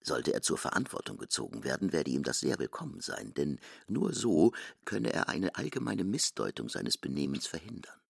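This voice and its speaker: A man with a gravelly, husky voice giving an expressive, articulate scripted narration for a literary radio drama. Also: a bass voice, normal speed, hardly any pauses, dry recording, clean studio sound.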